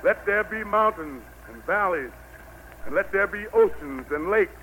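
A man's voice: high voice, lively intonation, unhurried, bright, forceful tone, heavily acted. An actor playing God as a genial, fatherly country patriarch with a Southern US accent and a folksy, commanding manner in an old radio play. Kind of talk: 1940s radio play acting. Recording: noisy old radio recording.

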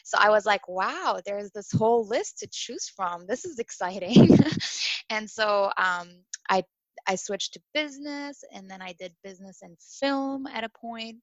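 A woman in her twenties from Syria who grew up in Dubai, a former international student talking casually as a podcast guest, lively and full of fillers. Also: medium pitch, a little slow, no room sound, poor audio. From Syria, raised in Dubai. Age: 20s. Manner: animated, chatty, with many fillers and laughs.

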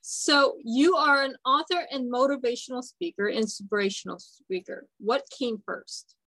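Woman radio host, highish voice, agrees a lot and sums things up. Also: measured pace, a bit bright, no echo, rough recording. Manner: affirming, sums up the guest's points. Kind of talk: interview questions and chat.

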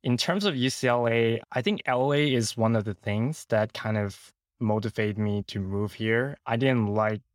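A man's voice: mid-range voice, normal speed, few pauses, no room sound, studio quality. A man with a Hong Kong accent telling his personal story casually as an interview guest. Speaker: podcast guest.

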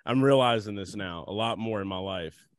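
A man's voice: fairly low pitch, moderate pace, dry recording. An American man hosting a casual chat, talking in an informal, slangy, rambling way. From New Orleans area, United States. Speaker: podcast host.

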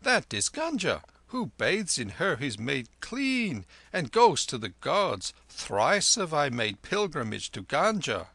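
A man doing a loud, boastful banker. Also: on the high side, sing-song, slow pace, hardly any pauses, slightly rough, no echo, voice pushed up, heavily acted. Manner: loud, proud, patronising. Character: boastful middle-aged male moneylender, patronising.

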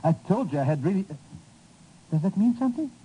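Husky, excitable bumbling sidekick. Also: male voice, sits high, fast talker, lo-fi sound, full character voice. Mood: eager, flustered. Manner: stammering, muddled, eager. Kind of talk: 1950s radio comedy-relief acting. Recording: old 1950s radio recording.

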